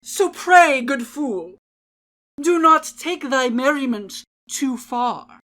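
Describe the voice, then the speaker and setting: A high, theatrical voice of a woman declaims like a merry jester herald in an old stage play. The delivery is grand and very slow, stretching words out with mock-archaic solemnity and playful caution. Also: animated, a bit bright, full character voice. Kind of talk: dramatic reading of a mock-Shakespearean monologue.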